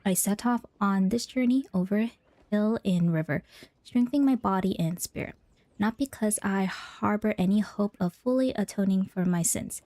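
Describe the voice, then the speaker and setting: A woman's voice: medium pitch, normal speed, mellow, no room sound. A woman game streamer giving casual playthrough commentary and reading game text aloud. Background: game music.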